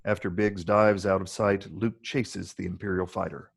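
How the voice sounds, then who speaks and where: Gravelly, deep-voiced male script narrator. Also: level tone, normal speed. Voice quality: gravelly, husky. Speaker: narrator reading stage directions.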